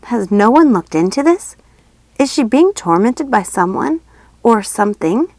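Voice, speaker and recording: A woman voicing a dark fairy who speaks in a sweet, coaxing, protective manner in a storybook reading. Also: on the high side, big pitch swings, measured pace, dry recording, pitched above the performer's own voice, full character voice. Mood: concerned, kind.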